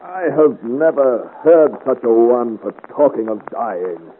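An actor playing a gallant, bold young Breton adventurer in a radio serial, earnest and formally courteous. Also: male voice, mid-range voice, animated, slow pace. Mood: tender, reassuring. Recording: noisy, narrow-band 1950 radio serial.

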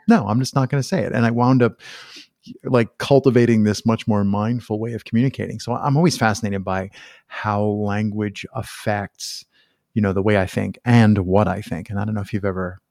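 Male podcast host, lower register, curious and rambling, dry close sound. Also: darkish tone, no room sound. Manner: curious, rambling, self-correcting. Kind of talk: casual interview conversation.

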